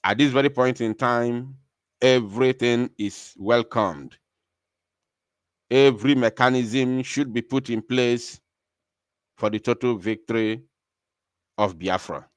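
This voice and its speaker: A man with a Nigerian accent hosts a live political broadcast in a clear, resonant voice of medium pitch. He delivers his commentary emphatically, repeating phrases to drive them home. The sound is dry and close, with very little room in it. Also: slow pace, pauses often, no echo.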